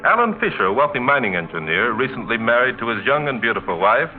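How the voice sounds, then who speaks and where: Male mystery announcer, 1940s radio: mid-pitched, sweeping intonation, dramatic narration. Muffled, band-limited vintage sound. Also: big pitch swings, quick pace.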